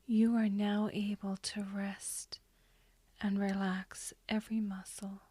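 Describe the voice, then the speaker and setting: A woman with a soft, breathy, whispery voice leading a guided hypnosis meditation in a soothing, gently instructing way. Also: medium pitch, little pitch movement, takes it slowly, no echo. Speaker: hypnotherapist guiding a session.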